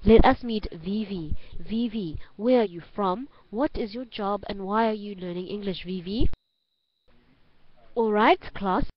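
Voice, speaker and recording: A woman with a South African accent teaching an online English class, giving instructions clearly and repeating phrases for learners. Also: medium pitch, a little slow. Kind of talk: online group lesson. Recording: dull lo-fi online call audio.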